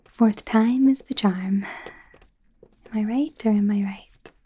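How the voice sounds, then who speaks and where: A woman's voice: mid-range voice, slow pace. Woman playing a whispering, awkward werewolf doctor. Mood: flustered, playful. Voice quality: soft breathy whisper. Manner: hesitant, rambling, gently awkward. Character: young female werewolf doctor, eager, awkward, friendly examiner. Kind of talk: ASMR medical exam roleplay.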